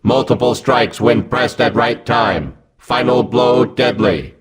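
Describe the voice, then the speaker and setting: Guy doing a game combat robot, very flat, terse mechanical delivery, medium pitch for a man. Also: lightly acted, low-quality recording. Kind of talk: video game tutorial voice lines.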